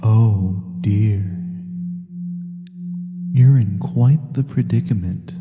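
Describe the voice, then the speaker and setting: A man playing a gentle, honorable incubus demon in a soft-spoken ASMR fantasy roleplay, measured, formal and softly teasing. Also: fairly low pitch, lo-fi sound, very noisy. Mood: calm, grave.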